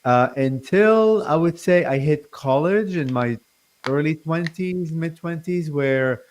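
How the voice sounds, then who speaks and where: A man in his forties, born in Lebanon, talking as a guest on a call-in interview; he stutters and speaks haltingly with many fillers and restarts. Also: a little high, a little hiss or hum. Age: 40s. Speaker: guest channeler. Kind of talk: casual interview talk.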